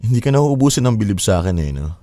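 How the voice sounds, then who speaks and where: A young boyfriend in a radio drama, affectionate but hesitant and apologetic. The voice is deep and warm, recorded close and dry. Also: male voice, big pitch swings, quick pace, no room sound. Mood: worried. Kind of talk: Filipino radio drama acting.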